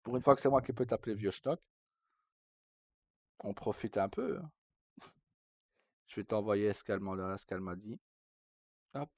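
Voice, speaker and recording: A man's voice: fairly low pitch, unhurried, long pauses, no room sound, lo-fi sound. A man hosting a livestream, chatting informally and casually with some mild swearing. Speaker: livestream host. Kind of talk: casual chat.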